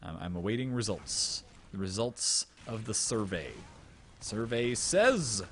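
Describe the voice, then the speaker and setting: A man's voice: fairly low pitch, very animated, a little slow, a little hiss or hum. A young man casting a live video game match, joking and rambling while losing his voice. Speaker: esports caster.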